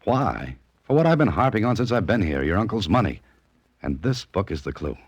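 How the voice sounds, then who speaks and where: Male sleuth, 1940s radio mystery. Low register, expressive swings, wry understatement and cool nerve. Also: brisk, slightly rough, heavily acted. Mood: explaining. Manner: dry, understated, teasing. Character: cool, wry novelist turned amateur sleuth, a man. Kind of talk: 1940s radio drama acting and first-person narration. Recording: vintage radio recording with music.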